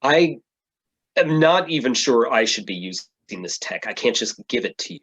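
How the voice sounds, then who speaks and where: A man's voice: mid-range voice, sing-song, moderate pace, fairly bright tone, slight background noise, poor audio. A man playing an earnest, defensive tech-suited superhero who justifies himself, in a staged play reading. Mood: hesitant.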